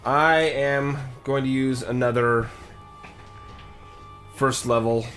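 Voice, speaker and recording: Male tabletop player, slow delivery, medium pitch, casual talk, faint music. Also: steady tone, a bit bright.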